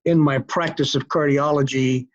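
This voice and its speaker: A man in his seventies telling stories as an interview guest in a folksy, unhurried, wry manner. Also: mid-range voice, fairly even intonation, highs cut off. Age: 70s. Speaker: cardiologist guest. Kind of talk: conversational storytelling interview.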